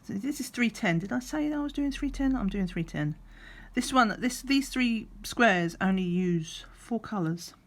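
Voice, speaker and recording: Slightly croaky lady rambling on while she crafts, relaxed, counts under her breath. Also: mid-range voice, normal speed, hardly any pauses. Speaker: crafting vlogger. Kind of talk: relaxed chatty craft vlog. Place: at a craft table indoors.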